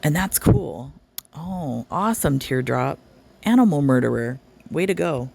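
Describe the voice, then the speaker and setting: A woman's voice: deep voice, animated, unhurried, vocal fry, a little mellow, slight background noise. A woman hosting a reaction channel, commenting with sarcastic, scolding asides. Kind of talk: commentary over a played livestream.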